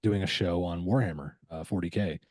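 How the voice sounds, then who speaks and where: A man with a very low, dark and mellow voice talks as an interview guest. He is easygoing and encouraging, chatting informally. The sound is close and very dry. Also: fairly quick, no echo. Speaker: podcast guest, voice actor. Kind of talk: casual interview chat.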